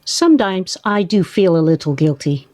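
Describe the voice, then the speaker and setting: An earnest, conscientious woman played by an actress in a radio play. Her pitch moves in lively swings as she gently corrects, in a close, dry recording. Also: moderate pace, dry recording, close to an everyday voice. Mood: earnest, uneasy.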